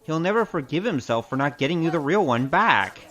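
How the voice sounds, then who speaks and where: Woman playing a wry, animated, low-voiced streetwise sleuth. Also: fairly quick. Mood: shrewd, brisk. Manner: wry, sardonic, casual. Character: wry, streetwise investigator, cocky and teasing. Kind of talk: audio drama acting.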